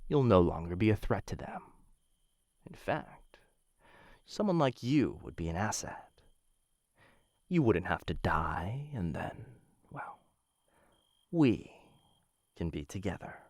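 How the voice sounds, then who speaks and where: A man playing a smitten, dangerous assassin of a noble house in an intimate boyfriend roleplay, teasing and coaxing with theatrical pauses. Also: deep voice, big pitch swings, darkish tone, no room sound, studio quality, full character voice.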